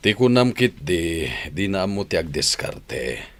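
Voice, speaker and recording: A man's voice: sits low, sing-song, unhurried. An actor playing a grudging, proud middle-aged family man, with a Filipino accent in radio drama style. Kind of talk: Ilocano radio drama acting. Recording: music bed under the dialogue. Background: background music.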